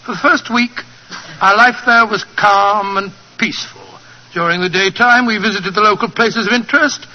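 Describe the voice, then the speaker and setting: A man playing a genial older English gentleman telling stories in an avuncular, old-fashioned British manner in a 1940s radio drama. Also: high-pitched, highs cut off.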